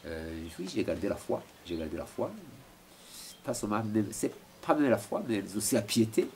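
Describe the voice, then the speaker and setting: A man's voice: lowish voice, big pitch swings, normal speed, a little mellow. A man in his forties from Rwanda, answering interview questions at home with many hesitations and repeated words. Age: 40s. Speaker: interviewee, philosopher. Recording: noisy home recording.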